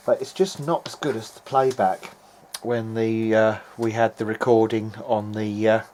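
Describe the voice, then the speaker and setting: A man's voice: lowish voice, flat delivery, measured pace, darkish tone. A man giving an informal, hesitant video-diary narration as he tests equipment, thinking aloud in a noisy amateur recording. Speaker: hobbyist electronics repairer.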